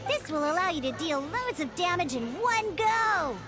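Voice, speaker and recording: Casting: young female fantasy game tutorial guide, extremely high voice, lively swings, playful, encouraging, music underneath. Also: normal speed. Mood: upbeat, explaining. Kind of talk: scripted game tutorial dialogue.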